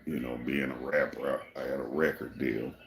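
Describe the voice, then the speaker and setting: Laid-back male livestream host, very low gravelly voice, echoey room, rambling delivery. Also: fairly even intonation, moderate pace, crowd noise. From Michigan, USA. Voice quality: deep gravelly voice, people say it suits radio. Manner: laid-back and rambling, many "you know" fillers. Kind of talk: casual livestream chat answering viewers.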